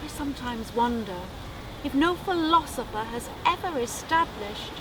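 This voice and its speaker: A woman giving a dramatic recitation of Dickens as a theatrical, worldly female character, with measured stage delivery. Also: high-pitched, expressive, far from the mic, background noise. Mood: reflective.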